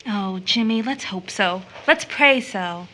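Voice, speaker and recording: A woman's voice: mid-range voice, animated, takes it slowly, pushed and bright, slight background noise, low-quality recording. A woman playing a tender, wistful mother figure, soothing and affectionate in an audio stage drama. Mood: hopeful, sad.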